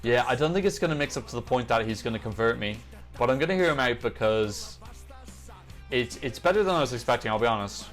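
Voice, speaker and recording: A man's voice: medium pitch, lively intonation, quick pace, fairly bright tone. Man chatting casually over music. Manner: chatty, opinionated, thinking aloud. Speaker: host of a music reaction show. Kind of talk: casual off-the-cuff reaction commentary. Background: songs from music videos being reviewed.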